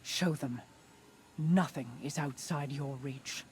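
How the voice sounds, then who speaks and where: A woman's voice: low-pitched, slow, a little hiss or hum. An actress playing a serene, commanding mystical queen in a video game, speaking in a stately, formal, portentous manner. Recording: loud music behind the voice.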